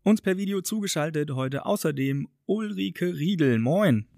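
A man's voice: fairly high pitch, lively intonation, moderate pace, gravelly, darkish tone. A man hosting a podcast, introducing guests and adding short remarks. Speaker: podcast host.

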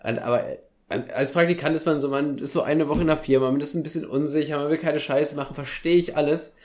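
A man's voice: fairly high pitch, fairly even intonation, fast, darkish tone. A young man in casual, humorous podcast banter, talking in youthful slang with playful exaggeration. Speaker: podcast co-host.

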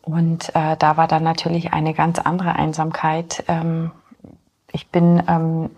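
Lowish husky woman, 40s, kinda halting, lots of ums. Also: monotone, a little mellow. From born in Poland, grew up in Germany. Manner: hesitant, many filler pauses. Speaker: guest. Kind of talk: personal interview talk.

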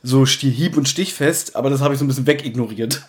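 A man's voice: medium pitch, fast talker. A man co-hosting a podcast in casual, rambling banter with a teasing, mock-serious tone. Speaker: podcast co-host. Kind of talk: casual banter about audio dramas.

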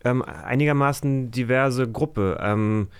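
A man's voice: medium pitch, slow pace, smooth. Male podcast guest, thirties, medium register, casual searching delivery with English slang. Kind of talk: casual chat.

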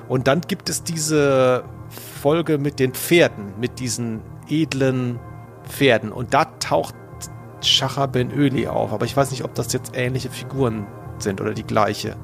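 A man's voice: medium pitch, gravelly. A man co-hosting a podcast in casual chat, thinking aloud as he works through his answers. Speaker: podcast co-host and quiz contestant.

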